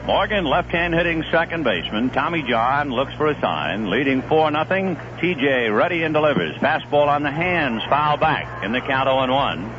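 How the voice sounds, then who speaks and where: A man calling a baseball game live on the radio, swinging from calm analysis between pitches to rising excitement on big plays, in a 1970s broadcast. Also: moderate pace, few pauses, lo-fi sound, noisy background. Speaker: play-by-play announcer. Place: stadium broadcast booth.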